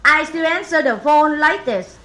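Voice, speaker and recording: A woman's voice: high-pitched, moderate pace, background noise. A woman with a Vietnamese accent performing stand-up comedy in short one-liners.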